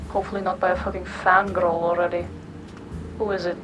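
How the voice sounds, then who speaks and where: An actress playing a brusque, sardonic, foul-mouthed job handler in a noir audio drama, giving commands bluntly. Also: female voice, lowish voice, level tone, a little fast, background noise, natural voice. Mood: brisk, sardonic. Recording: music behind the voice. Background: music.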